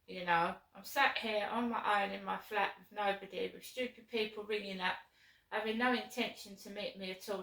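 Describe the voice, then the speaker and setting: A woman with a sore throat vlogging alone from her flat, rambling and aggrieved, with many ums. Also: medium pitch. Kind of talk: casual chat to camera. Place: small flat, indoors. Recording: echoey room, mic at a distance.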